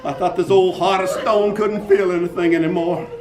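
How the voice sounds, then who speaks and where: A man voicing a smooth-talking male prosecutor, theatrical and falsely sympathetic, in an amateur play reading. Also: high voice, steady tone, moderate pace, natural voice. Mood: grandstanding. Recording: echoey room with loud noise.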